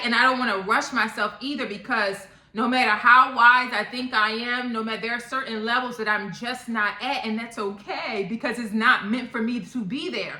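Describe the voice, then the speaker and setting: Bright-voiced, blunt woman in echoey room. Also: fairly high pitch. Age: 30s. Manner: blunt, emphatic, repeats points for emphasis. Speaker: topic channel vlogger. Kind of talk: casual opinion monologue to viewers.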